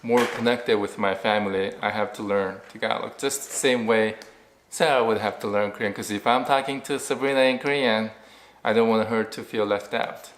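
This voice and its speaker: A man's voice: fairly low pitch, fairly even intonation, moderate pace. A man co-hosting a family vlog, talking casually and conversationally as a father. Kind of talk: casual couple chat with sponsor pitch. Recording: roomy echo, faint background noise.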